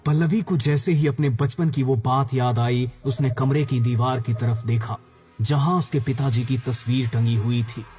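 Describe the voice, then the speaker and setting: A man's voice: medium pitch, fairly even intonation, moderate pace, dark tone. A man narrating a scripted horror story for a cartoon. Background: background music.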